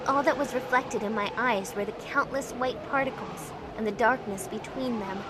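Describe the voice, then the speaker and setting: A woman playing an anxious, curious young woman who narrates her own story in a measured, introspective way for a visual novel fan dub. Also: a little high, background noise, lightly acted. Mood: tense, dramatic. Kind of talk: first-person scripted narration. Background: music.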